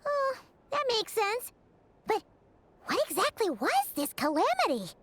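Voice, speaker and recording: A woman playing a tiny, chatty, excitable fairy companion with a childlike manner in a cartoonish video game. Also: very high voice, unhurried, full character voice. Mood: curious, eager. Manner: childlike, refers to herself in third person.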